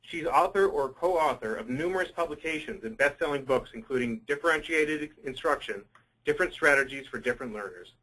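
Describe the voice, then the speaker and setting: A man opens an online seminar with scripted housekeeping and a speaker introduction, his delivery formal and read aloud. His tone is bright and forceful, with a husky edge. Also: a little high, animated, brisk, rough recording. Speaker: webinar moderator.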